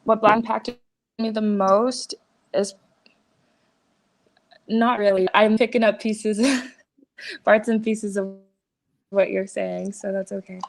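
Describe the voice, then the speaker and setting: A woman's voice: mid-range voice, steady tone, takes it slowly, gravelly, long pauses. A woman speaking as a panel guest, hesitant and casual, filling her sentences with "you know" and "like". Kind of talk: panel discussion.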